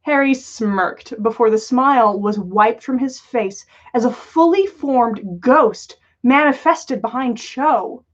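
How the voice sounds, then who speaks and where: A woman's voice: a little high, slow, few pauses. A woman reading a story aloud as a livestream host, with lo-fi sound. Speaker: podcast host reading fan fiction aloud. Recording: dull lo-fi livestream audio.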